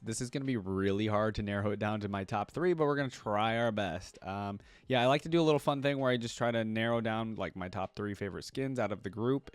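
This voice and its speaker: A man with a lowish voice reacts casually as a video host. He rambles and thinks out loud as he goes. The sound is dry and close to the mic. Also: dry recording.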